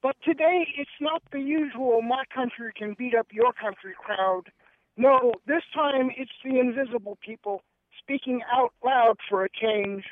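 A man's voice: very high voice, dry recording. Man speaking over a tinny phone line. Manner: thoughtful, hesitant restarts; measured reading. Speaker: guest poet and activist. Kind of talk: radio interview and poetry reading.

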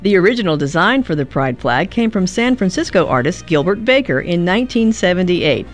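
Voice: medium pitch, very animated, a little fast, faint music behind. A volunteer radio reader delivering scripted narration.

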